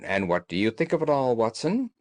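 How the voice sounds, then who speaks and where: A man voicing a shrewd gentleman detective in an audiobook, calm, analytical and authoritative, with formal, deliberate phrasing. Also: medium pitch, dry recording, close to an everyday voice. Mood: pondering.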